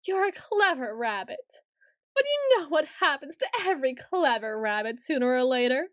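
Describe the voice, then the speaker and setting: A woman's voice: high voice, very animated, normal speed, fairly bright tone, no echo, studio quality. A woman voicing a haughty, centuries-old tsundere vampire noble in an ASMR roleplay, with theatrical, formal and condescending phrasing. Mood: haughty, menacing.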